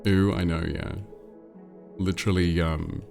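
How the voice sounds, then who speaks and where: Deep, gravelly, congested male streamer. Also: level tone, unhurried, darkish tone, faint music behind. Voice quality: nasal, congested. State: congested with a cold. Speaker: livestream host. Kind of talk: casual livestream chat, reading viewer messages aloud.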